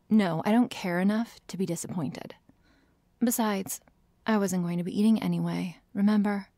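A woman's voice: mid-range voice, warm tone, no echo. A woman playing a prickly, sarcastic but secretly shy vampire girl in an ASMR roleplay, hesitant and sighing, often dropping to a whisper. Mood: sarcastic, annoyed. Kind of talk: ASMR roleplay addressed to the listener.

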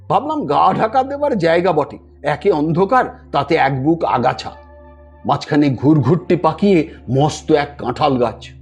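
A man's voice: high-pitched, darkish tone, breathy edge. A man narrating a scripted story in a theatrical, dramatic manner, acting out the dialogue as he goes. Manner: theatrical, acts out characters' dialogue.

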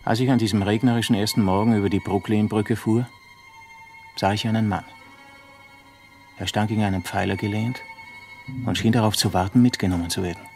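An actor playing an uneasy driver who recounts an eerie encounter in measured words, in a 1950s radio play monologue. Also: male voice, fairly low pitch, steady tone, a little mellow, soft background music, close to an everyday voice. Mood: brooding.

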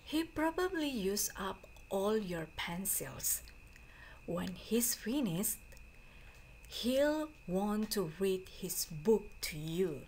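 A woman teacher reading a storybook aloud to students in a deliberate, phrase-by-phrase way. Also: medium pitch, big pitch swings, darkish tone, a little hiss or hum. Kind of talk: slow storybook read-aloud for a class.

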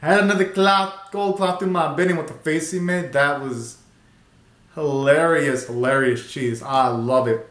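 A man's voice: a little high, fairly even intonation, moderate pace, fairly bright tone. A young man giving casual, slangy and exclamatory reaction commentary as a video host, recorded in a small bedroom. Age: young adult. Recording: roomy, echoey bedroom sound.

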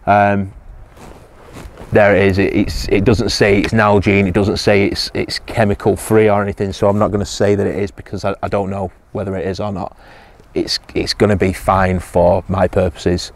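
A man's voice: fairly low pitch, level tone, normal speed. A man, casually reviewing survival gear outdoors on a hillside, hesitant with many ums and restarts.

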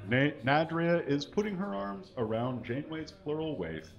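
A man's voice: on the high side, expressive, moderate pace, gravelly, highs cut off, a crowd in the background. A man giving a live comedic dramatic reading into a stage microphone, mock-earnest and exaggerated, spelling out mistakes and punctuation as he goes. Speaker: live comedy show performer reading fan fiction. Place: small indoor stage with curtains, live audience. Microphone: microphone on a stand.

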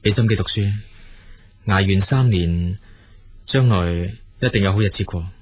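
A busy, evasive brother-in-law in a Hong Kong Cantonese radio play. His voice is fairly low, with a strong husky grain. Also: unhurried, a little mellow, no room sound. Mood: encouraging. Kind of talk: radio drama acting.

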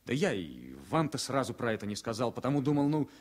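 An actor playing a talkative, wry former military pilot in his thirties, speaking in a halting, informal way in a radio drama. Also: medium pitch, very animated. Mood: wistful, guarded. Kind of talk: Soviet radio drama acting.

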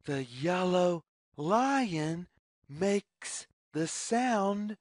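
A storyteller reading a simple children's story aloud slowly and deliberately, phrase by phrase, in a sing-song way. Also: mid-range voice, expressive, no echo, clean studio sound.

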